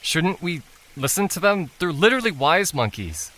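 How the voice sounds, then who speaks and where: A man's voice: a little high, very animated, normal speed, sharp tone. A man playing an unsure, troubled boy in an audio drama, hesitant and questioning.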